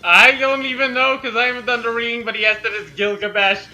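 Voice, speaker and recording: A man's voice: very high-pitched, flat delivery, fast talker, bright, forceful tone, faint music behind, low-quality recording. A man chatting casually as a guest in a group reading. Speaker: guest author of the story being read. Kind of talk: casual chat.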